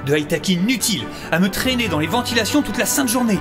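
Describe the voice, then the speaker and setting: A man's voice: sits high, expressive, quick pace, over music. A man playing an earnest, self-dramatizing infiltrator and loyalist in an audio comedy drama, emphatic and theatrical. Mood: proud.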